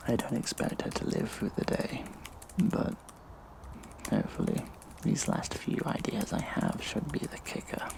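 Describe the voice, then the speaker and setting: An actor playing a smug, vengeful hacktivist in an audio drama, speaking in a breathy, sinister, mock-casual hush. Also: male voice, unhurried, background noise, close to an everyday voice. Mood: smug farewell. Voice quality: breathy, whispery, gravelly creak. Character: vengeful gleeful hacktivist vigilante man. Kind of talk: audio drama monologue, addressed to viewers.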